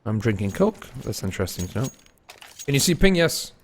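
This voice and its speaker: A man commentating solo on a live game stream, mixing play-calling with casual chat to viewers and shifting between excited and low-key delivery. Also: a little fast. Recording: noisy with game gunfire and clicks. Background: game gunfire and clicks.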